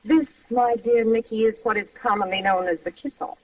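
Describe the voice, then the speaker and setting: A woman's voice: a little high, brisk, recorded from a distance, a little hiss or hum, lightly acted. A woman acting a cold, mocking femme fatale in an old radio crime drama. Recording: muffled old radio recording, off-mic.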